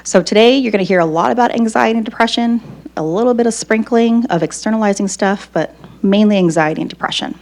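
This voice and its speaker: A woman professor giving a psychology lecture, her voice still hoarse after losing it, talking conversationally and checking in with the audience. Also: mid-range voice, fast talker, a little mellow. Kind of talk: academic lecture.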